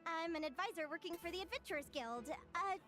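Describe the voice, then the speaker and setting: A woman's voice: extremely high pitch, lively intonation, quick pace, bright, forceful tone, faint music behind, exaggerated voice. A woman voicing an eager, bubbly young guild advisor who lectures with gushing enthusiasm, in a video game. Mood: sheepish. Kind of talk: game voice acting. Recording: game soundtrack behind.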